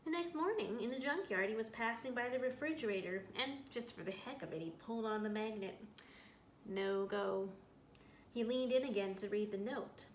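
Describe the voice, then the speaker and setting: A woman with a fairly high voice reads a picture book to children as a school librarian. She tells it expressively and turns to her listeners with small asides. The room echoes around her and there is some background noise. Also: normal speed. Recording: roomy echo, clear background noise.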